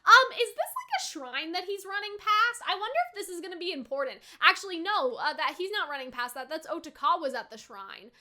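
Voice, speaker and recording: A young woman gives a casual, animated reaction and review, rambling and peppering her talk with "like" and "right?". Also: very high-pitched, fairly quick, few pauses. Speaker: anime reaction YouTuber.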